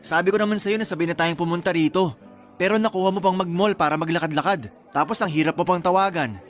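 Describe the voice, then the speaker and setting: An actor playing a moody, put-upon groom-to-be with a Filipino accent in a radio drama, with music behind. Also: male voice, high voice, fast, lightly acted. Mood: sulky.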